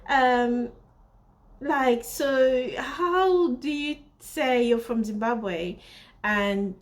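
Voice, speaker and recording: A young woman from Africa vlogs to camera, her voice sitting a touch high. She tells her story in a chatty, animated way, full of fillers. She often breaks into acted-out dialogue. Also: extremely slow. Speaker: vlogger and fashion designer.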